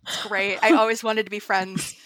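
A woman co-hosting a podcast in casual chat, bubbly and excitable and quick to laugh. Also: mid-range voice, no echo, rough recording. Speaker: podcast co-host.

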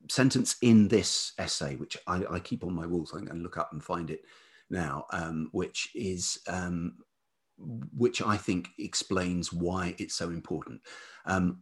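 A man with a velvety voice talking reflectively as an interview guest, thoughtful and halting, often pausing mid-phrase. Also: low voice, breathy edge. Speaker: podcast guest, writer and life writing teacher.

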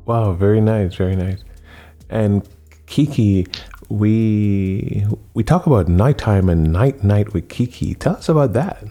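A man hosting a talk show interview, speaking slowly and emphatically and repeating his phrases for effect. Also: lowish voice, warm tone, soft background music. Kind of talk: radio-style interview.

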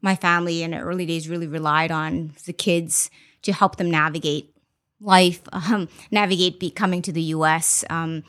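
A woman investor giving a candid, reflective podcast interview, often pausing with ums. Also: lowish voice, fairly even intonation, fairly bright tone. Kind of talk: conversational interview. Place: studio interview.

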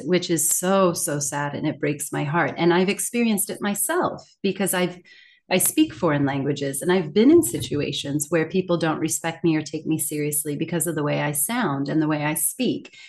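A woman's voice: deep voice, moderate pace, a little mellow. A middle-aged woman speaking reflectively as an interview guest, earnest, articulate and emphatic in her delivery. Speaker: guest, communication coach and author.

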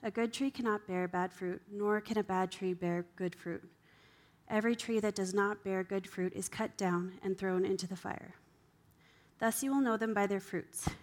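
A woman's voice: mid-range voice, moderate pace. A woman reading scripture aloud as a volunteer reader.

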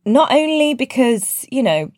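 A woman's voice: sits high, very animated, a little slow, a little mellow, dry recording, clean studio sound. A woman interviewing a remote teacher over a video call, chatty and full of fillers and restarts. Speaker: interviewer and teaching team lead.

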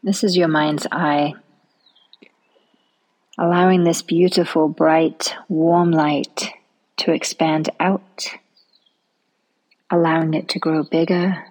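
A woman leading a guided relaxation meditation in a soft, soothing manner, often trailing off into a near-whisper. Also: low voice, flat delivery, slow, pauses often, poor audio.

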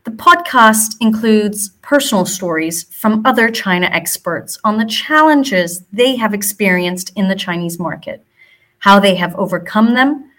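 A woman's voice: mid-range voice, a little slow. A woman in her forties from Hong Kong with a mixed American and British accent, hosting a podcast and telling her own story casually with frequent ums. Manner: relaxed, fillers like um between phrases. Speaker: podcast host. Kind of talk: scripted podcast intro then casual storytelling.

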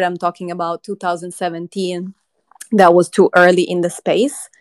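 A woman from Croatia in her thirties, a company chief being interviewed, talking in an earnest, measured way with frequent ums. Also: on the low side, smooth. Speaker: crypto company CEO, guest. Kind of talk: conversational interview.

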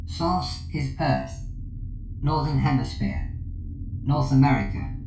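An emotionless machine intelligence speaks in a very deep, creaky female voice. Each clipped, literal report comes slowly, as in a sci-fi audio drama, in an echoing space with loud music behind. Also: heavily acted. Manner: flat, clipped, literal robotic delivery. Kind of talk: science fiction audio drama acting.

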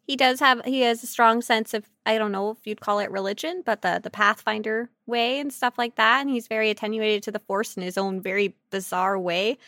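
A woman co-hosting a podcast with casual, silly banter, talking in an animated, jokey and exclamatory way. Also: fairly high pitch, fairly quick, hardly any pauses, no echo, studio quality. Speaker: podcast co-host. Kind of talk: casual, silly banter about an online game.

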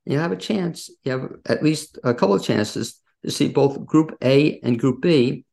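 A man hosts an interview show from a video call. His voice sits at an average male pitch and is extremely creaky and gravelly. He speaks as a presenter, setting things up for listeners and putting questions to his guest. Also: animated, normal speed, darkish tone. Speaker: podcast host. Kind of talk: interview hosting on a positive news podcast. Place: remote video call from his own studio.

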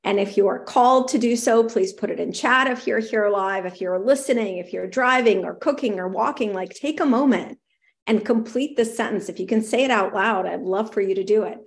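A middle-aged woman hosting a live show, upbeat and encouraging, reading viewers' messages aloud, her voice slightly raspy. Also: mid-range voice, fairly quick. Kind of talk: live-streamed talk to viewers.

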